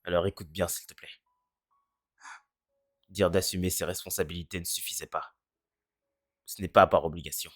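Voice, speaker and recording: A performer voicing a cynical, self-deprecating teenage boy in a French fan dub who speaks in a deadpan way. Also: low-pitched, moderate pace, pauses often, no echo, studio quality. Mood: earnest. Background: faint music.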